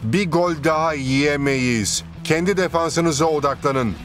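A man's voice: on the high side, measured pace, a bit bright. A man voicing a determined football team captain in a cartoon, firm and instructing. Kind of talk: dubbed cartoon dialogue. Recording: clear music behind.

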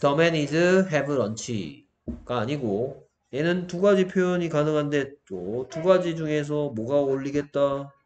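A man's voice: on the high side, slow, darkish tone, a little hiss or hum. Man teaching a grammar lesson. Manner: frequent check-in questions. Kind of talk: explanatory lesson with questions to students.

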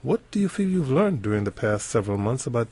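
A man hosting a radio interview, putting measured, formal questions to a guest. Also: mid-range voice, very animated, darkish tone, breathy edge.

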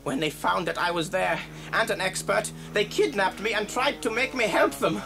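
A man's voice: high-pitched, moderate pace, vocal fry. An actor playing an earnest male doctor and father in a children's audio story. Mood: grave, explaining. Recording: noisy old story cassette.

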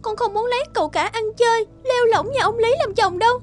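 Very high-voiced young noblewoman, soft-hearted. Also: quick pace, soft background music. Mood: upset, defiant. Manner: soft-hearted, pleading, emotional. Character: gentle, devoted young noblewoman in love. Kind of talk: animated folk-tale dialogue.

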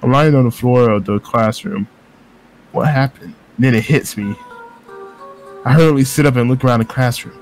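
A man reading game narration aloud in a casual group playthrough, jokey, excitable and prone to swearing. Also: medium pitch, normal speed, long pauses, rough recording. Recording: music under the voice. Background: game music.

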